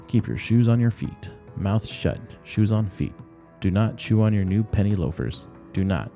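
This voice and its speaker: A man's voice: lowish voice, animated, moderate pace, creaky, mellow, soft background music, voice pushed up. A man voicing an anxious young wolf-raised girl in a storybook reading, repeating short rote commands. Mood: tense, self-scolding.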